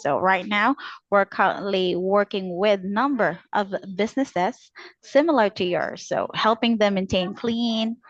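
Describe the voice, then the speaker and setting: A woman working as a telemarketing agent, politely delivering a scripted cold-call sales pitch and addressing the customer formally. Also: no room sound, dull audio.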